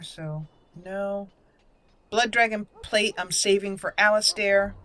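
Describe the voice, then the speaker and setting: A woman's voice: lowish voice, fairly bright tone. A woman giving casual gameplay commentary, thinking aloud and reading item names out as she goes. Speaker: gaming channel host. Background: video game music.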